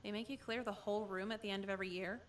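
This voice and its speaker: Woman playing deadpan, cynical teenage schoolgirl, slightly distant. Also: medium pitch, very fast, slight background noise, natural voice. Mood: dry, needling. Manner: deadpan, flippant, sardonic. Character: cynical, apathetic teenage schoolgirl, just eighteen, manipulative and blunt. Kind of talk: visual novel voice acting, conversational narration.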